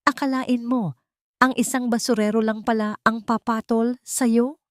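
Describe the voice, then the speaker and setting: A woman voicing a venomous, mocking female rival doctor with a sharp, taunting delivery in an audio drama. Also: fairly high pitch, steady tone, measured pace, dry recording, studio quality, voice pushed up, natural voice.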